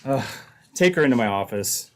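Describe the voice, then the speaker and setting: A man's voice: medium pitch, lively intonation, normal speed, natural voice. A man playing a scheming, nervous uncle in an old-time radio drama, hesitant and placating as he reasons. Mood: evasive. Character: scheming, nervous embezzler uncle, cornered but calculating.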